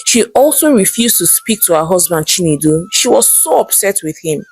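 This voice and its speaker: A woman with a Nigerian accent narrates a folktale in an expressive, dramatised storytelling style. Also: lowish voice, moderate pace, breathy edge, soft background music, rough recording. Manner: expressive, acts out characters' dialogue.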